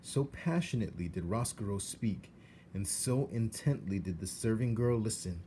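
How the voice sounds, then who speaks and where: A man reads a storybook aloud expressively, in a fairly low, mellow voice. His pitch moves in lively swings over faint background noise. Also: normal speed. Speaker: storybook narrator. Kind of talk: expressive read-aloud narration.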